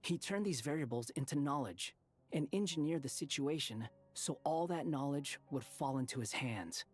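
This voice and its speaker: A man voicing an aloof, cynical young wanderer in a video game, explaining in a measured, disdainful way. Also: a little high, animated, husky, soft background music.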